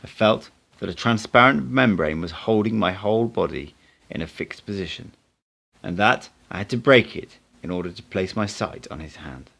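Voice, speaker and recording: Low-voiced man reading an audiobook. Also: animated, normal speed, creaky, fairly bright tone. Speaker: amateur audiobook reader. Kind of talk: scripted audiobook narration with acted dialogue.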